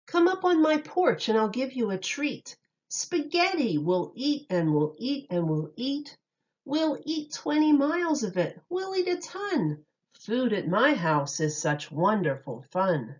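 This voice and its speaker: A woman reads a children's rhyming story at an unhurried pace. Her pitch swings in a lively sing-song, with each phrase set out clearly for young listeners. The sound is slightly dull and lo-fi. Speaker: teacher reading a picture book aloud.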